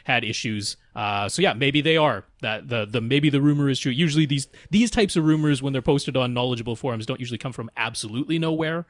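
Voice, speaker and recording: A man's voice: medium pitch, fast, few pauses, no echo, clean studio sound. A man co-hosting a podcast, explaining things casually and carefully qualifying what he says. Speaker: podcast co-host.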